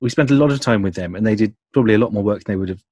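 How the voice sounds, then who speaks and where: A man's voice: fairly low pitch, very fast, a little mellow, slightly rough, no room sound. A man explaining his directing work in a casual interview, self-deprecating as he goes. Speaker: audio drama writer and director, interview guest. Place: indoors, remote call.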